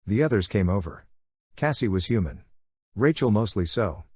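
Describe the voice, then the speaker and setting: A man's voice: fairly low pitch, lively intonation, moderate pace, darkish tone, slightly rough, no room sound, studio quality. A man narrating a dramatic first-person story from a script as an audiobook reader.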